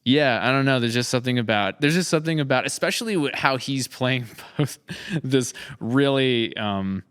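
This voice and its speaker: Guy hosting a chatty podcast, clean smooth voice, kinda upbeat and informal. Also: medium pitch, expressive, moderate pace, a bit bright. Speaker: podcast host. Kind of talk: casual podcast chat.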